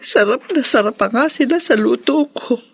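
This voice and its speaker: A man playing a lonely, despondent parent in a radio drama monologue, with a husky, gravelly, sighing delivery. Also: very high-pitched, lively intonation, moderate pace, fairly bright tone, full character voice. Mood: weary, sorrowful. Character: lonely, despondent parent left alone at home.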